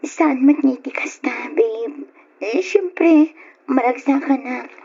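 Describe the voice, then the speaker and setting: A woman's voice: high-pitched, slow pace, mellow, breathy edge, exaggerated voice. A woman playing a young student girlfriend, sweet and evasive, in an Ilocano radio drama, with an Ilocano accent. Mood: hesitant. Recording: dull radio recording with music behind.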